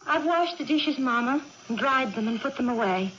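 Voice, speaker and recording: A timid, mournful young daughter in an old radio play. Her voice is high, meek and hesitant. The recording is dull with faint noise. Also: expressive, moderate pace, a bit bright. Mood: meek, anxious. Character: timid, melancholy, withdrawn eighteen-year-old farm daughter. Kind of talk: period radio drama acting.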